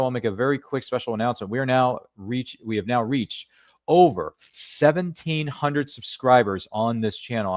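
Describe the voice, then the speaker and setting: Male indie podcast host, quick-talking and chatty, dry close sound. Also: fairly low pitch, no room sound. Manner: upbeat, rambling, self-deprecating. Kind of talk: casual show wrap-up and plugs.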